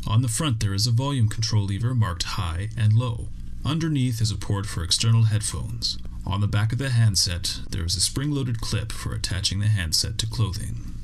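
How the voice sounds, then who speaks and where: A man reading a scripted instructional narration in a measured, step-by-step way as a training video narrator. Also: fairly low pitch, slight background noise.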